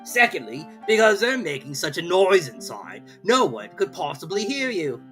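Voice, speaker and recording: A woman voicing an aloof, dim, unhelpful male footman creature in a storybook, deliberate and oblivious. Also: lowish voice, sing-song, a bit bright, soft background music, voice pushed up. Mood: matter-of-fact. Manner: deliberate, oblivious, matter-of-fact.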